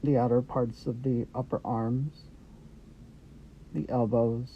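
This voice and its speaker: A man guiding a meditation with gentle, unhurried instructions in short phrases with pauses between them. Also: mid-range voice, little pitch movement, creaky. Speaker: meditation guide. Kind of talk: guided meditation, Yoga Nidra. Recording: dull lo-fi audio with background noise.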